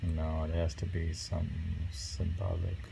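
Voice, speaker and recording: A man reading short poems aloud and chatting about them casually, musing and pausing over the lines. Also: low-pitched, monotone, gravelly, background noise. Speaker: poetry reader and host. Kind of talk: casual poetry reading and chat.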